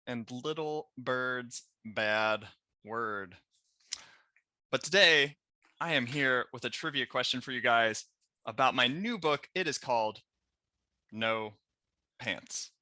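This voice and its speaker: Bright-voiced man, unhurried. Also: mid-range voice, no echo. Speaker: children's book author and illustrator. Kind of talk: trivia question for children to camera. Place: small room at home. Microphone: headset.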